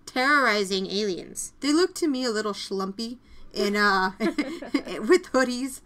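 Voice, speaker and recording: A woman's voice: a little high, lively intonation, measured pace, a bit bright. A woman hosting a podcast, chatting informally and jokily as she reviews television. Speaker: podcast host. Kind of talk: casual TV review discussion.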